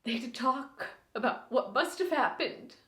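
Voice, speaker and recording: A woman performing a dramatic stage monologue as a reclusive widow who haltingly breaks a long silence, in short, pained phrases. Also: high voice, animated, pitched above the performer's own voice. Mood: pained, bitter. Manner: halting, short broken phrases, sighing, swinging from hushed to pained. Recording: roomy, echoing indoor sound.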